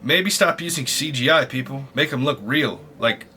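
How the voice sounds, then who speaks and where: A man's voice: medium pitch, moderate pace, sharp tone, slight background noise. A young man giving casual reaction commentary in an informal, slangy, exclaiming way as a video host.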